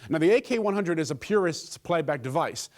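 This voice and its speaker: A man's voice: fairly high pitch, lively intonation, brisk. A man presenting a scripted gadget review, with a joking streak in his delivery.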